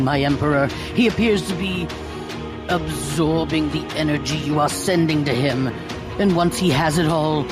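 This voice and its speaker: An actor playing a deferential, wary alien general in an audio drama, speaking in a formal, theatrical manner. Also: male voice, on the high side, natural voice. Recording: music behind the voice.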